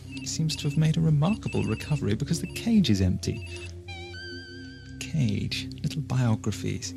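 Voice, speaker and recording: An actor playing a whimsical, inquisitive time traveller in an audio drama, musing wryly to himself with music behind him. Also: male voice, medium pitch, gravelly. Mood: curious, wry. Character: whimsical, curious time-travelling male investigator, wry and talkative. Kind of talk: audio drama acting, soliloquy.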